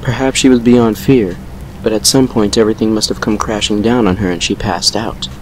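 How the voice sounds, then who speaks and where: A man playing a brooding vampire who recounts a painful past with measured regret in an audio drama. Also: fairly low pitch, fairly even intonation, a little hiss or hum, natural voice, rough recording. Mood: subdued, regretful. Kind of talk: fan fiction audio drama monologue.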